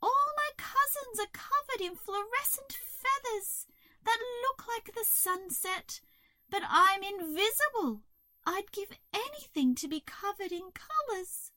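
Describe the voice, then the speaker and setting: A woman's voice: extremely high pitch, lively intonation, a little slow, sharp tone, no room sound, pitched above the performer's own voice, full character voice. A woman voicing a shy, sad female crow in a children's story, wailing plaintively and self-consciously.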